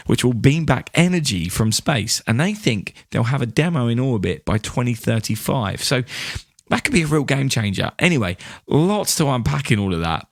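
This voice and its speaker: An upbeat British man chats as a podcast co-host. His pitch moves in lively swoops around a middling register. The sound is dry, with very little room. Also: no echo. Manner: upbeat, animated. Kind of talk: casual space news podcast chat.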